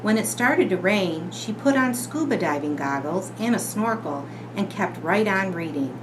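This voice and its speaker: A woman's voice: medium pitch, animated, moderate pace. A woman on a school's staff reading a children's story aloud. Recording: noisy room ambience.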